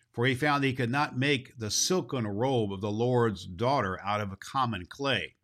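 Man, storybook narrator, mid-pitched, highly animated intonation, expressive scripted reading for children.

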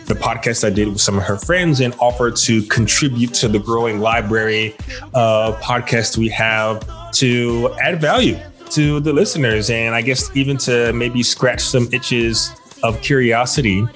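A man's voice: medium pitch, steady tone, a little slow, fairly bright tone, soft background music. A man from Texas hosting a podcast, chatting casually and loosely with plenty of "like" and "you know". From Texas, United States. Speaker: podcast host. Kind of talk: casual conversational interview.

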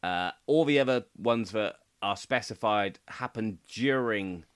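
A British man with a fairly low voice talks as a podcast co-host. His delivery is casual and conversational, like explaining things to a friend. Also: measured pace, smooth. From United Kingdom.

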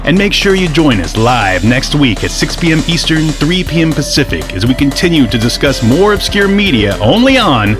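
Wry dude reading podcast outro, lively intonation, music behind. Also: male voice, fairly high pitch, normal speed, hardly any pauses. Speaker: podcast announcer. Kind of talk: scripted outro narration. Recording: voice over clear background music.

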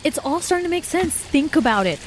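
A woman acts as a headstrong young woman convinced something is wrong. Her fairly high voice is earnest and insistent, with faint noise and ambience behind it, in a naturalistic audio drama. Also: very animated, brisk. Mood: insistent, paranoid. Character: suspicious, headstrong young woman lost camping, haunted by lake.

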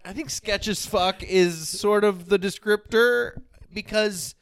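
A person with a deep voice hosting a casual podcast, talking informally and searching for words aloud. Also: slow pace. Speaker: podcast host.

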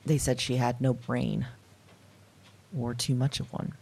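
A woman playing a weary, wry modern woman in an intimate audio drama monologue, speaking in a reflective, halting, confiding way. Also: extremely low pitch, little pitch movement, gravelly, warm tone, husky, exaggerated voice. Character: weary, wry, candid modern woman recounting her dreams.

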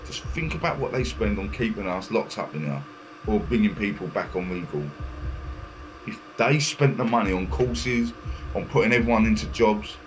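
A man's voice: medium pitch, background noise, close to an everyday voice. An actor playing a bitter, foul-mouthed male prisoner, ranting about life on the wing. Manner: angry, sweary, full of tag questions. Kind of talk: audio drama monologue.

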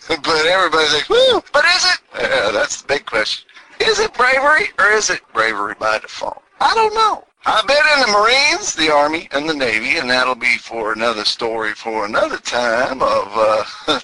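A man's voice: sits high, low-quality recording. Folksy older man with a Texan twang. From New England. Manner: animated, exclaiming, chuckling folksy talk. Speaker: vlogger, military veteran. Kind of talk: casual storytelling monologue.

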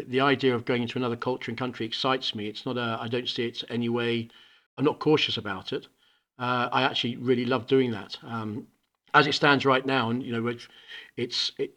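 A middle-aged man speaks at an ordinary, medium pitch. He talks with earnest conviction, often restarting and repeating words as he works through his thoughts, in a relaxed podcast conversation. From UK and Hong Kong. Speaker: founding school principal.

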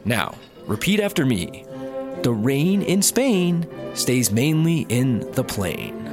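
An actor playing a cheerful, gullible startup worker in a sci-fi comedy audio drama, earnest and exaggerated. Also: male voice, medium pitch, sing-song, takes it slowly, music in the background. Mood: bossy, upbeat.

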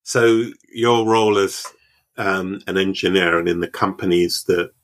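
A man's voice: low-pitched, steady tone, measured pace. A man hosting an interview, affirming his guest often and asking reflective follow-up questions. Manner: encouraging, affirming with frequent backchannels. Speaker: podcast host. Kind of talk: interview conversation.

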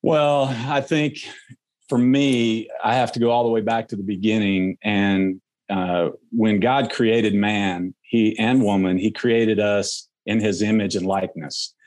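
A man speaking as a guest on a podcast, earnest and measured as he reflects on his views. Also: on the low side, fairly even intonation, a little mellow. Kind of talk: casual interview conversation.

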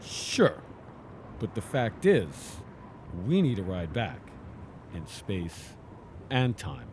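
Guy acting easygoing scientist in audio drama, wry, animated, echo and background noise. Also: fairly low pitch, slow pace, full character voice. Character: male scientist, wry, easygoing team member. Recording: echoey, noisy ambience.

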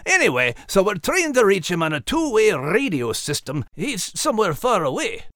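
Brisk Scottish terrier host, swooping pitch. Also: male voice, wildly swooping pitch, a bit bright, dry recording, clean studio sound, exaggerated voice. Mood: brisk, flustered. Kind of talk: scripted comic character banter.

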